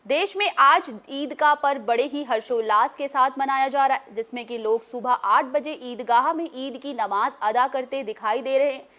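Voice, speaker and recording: A woman with a fairly high voice works as a news anchor. She reads scripted reports in a formal bulletin style, as narration for a news broadcast. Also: normal speed, few pauses, bright, forceful tone.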